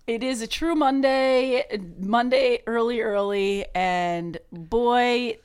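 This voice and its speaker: A woman's voice: a little high, takes it slowly, clear, resonant tone. A woman co-hosting a casual sports podcast, upbeat and encouraging, with fillers when explaining. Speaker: podcast co-host.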